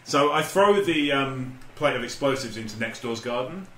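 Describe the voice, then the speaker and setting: A man's voice: mid-range voice, lively intonation, normal speed, pushed and bright. A man chatting casually as a podcast host among friends, telling stories in a sweary, joking way. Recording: echoey room, faint background noise.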